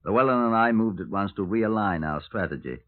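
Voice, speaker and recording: An actor playing a wry, genial British police superintendent in clipped 1950s radio drama style, on a vintage recording. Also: male voice, lowish voice, lively intonation, fairly quick, lightly acted. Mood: considered. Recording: tinny, narrow-band vintage radio recording.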